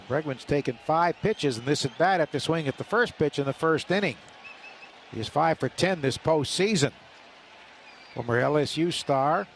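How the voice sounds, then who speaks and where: A man with a slightly high voice delivers live baseball play-by-play as a radio announcer. Faint outdoor ballpark noise sits behind him. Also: fairly bright tone.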